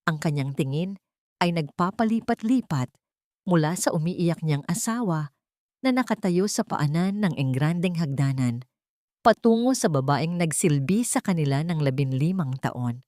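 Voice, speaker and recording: A woman with a low voice reads a dramatic story in a slow, measured, solemn way. The recording is dry and close, with no room sound. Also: expressive, mellow, no echo. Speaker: narrator. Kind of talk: scripted dramatic narration.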